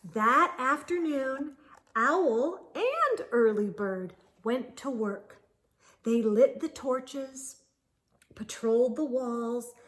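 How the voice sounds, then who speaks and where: A woman hosting children's storytime, reading a picture book aloud expressively with deliberate, drawn-out phrasing. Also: sits high. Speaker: children's storytime host and reader.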